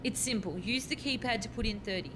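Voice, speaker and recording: High-voiced, insistent female researcher played by an actress. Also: a little fast, noisy background, lightly acted. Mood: urgent, instructing. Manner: insistent, explanatory. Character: earnest, bossy, harried female doctoral time-travel researcher. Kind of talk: radio farce acting.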